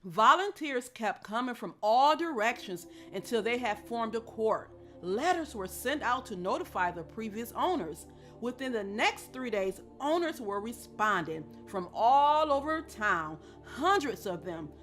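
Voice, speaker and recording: A woman narrating a children's story in an expressive scripted read-aloud style. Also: a little high, unhurried, soft background music.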